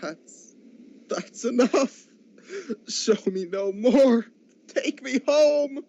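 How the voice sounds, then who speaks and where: A man playing a frightened, pleading old miser in an amateur radio drama, stammering and halting as he speaks. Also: very high voice, warm tone, exaggerated voice. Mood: anguished pleading. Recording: dull lo-fi amateur recording.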